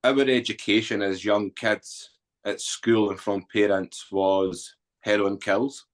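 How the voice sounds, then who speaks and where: A low-voiced man with an Edinburgh Scottish accent, in his forties. He tells his story candidly as a podcast guest, blunt and earthy, often pausing on an um. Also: flat delivery. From Scotland. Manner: blunt, earthy, unguarded talk with frequent ums. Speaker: guest, author and former soldier.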